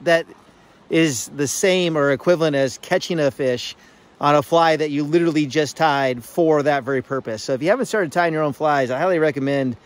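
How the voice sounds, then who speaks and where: A man's voice: fairly high pitch, fairly quick. A man filming himself fly fishing outdoors by a river, talking casually with many fillers and restarts.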